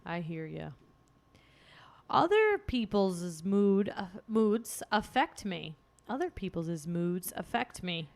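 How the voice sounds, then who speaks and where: A woman's voice: fairly low pitch, sing-song, takes it slowly, gravelly. A woman co-hosting a podcast, chatting casually and reading out quiz questions with a playful, laughing manner. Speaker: podcast co-host.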